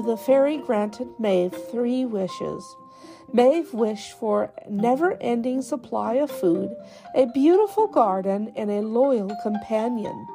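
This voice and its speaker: A woman reading scripted children's folk tales in a measured, deliberate storybook delivery. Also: fairly high pitch, gravelly.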